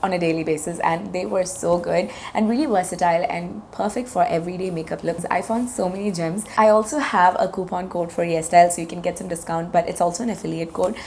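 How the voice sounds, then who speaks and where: Hoarse, gravelly-voiced woman vlogger. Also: fairly low pitch, steady tone, fast, few pauses, darkish tone, slight background noise. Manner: chatty, enthusiastic, product-by-product narration. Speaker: beauty vlogger. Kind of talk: casual makeup review.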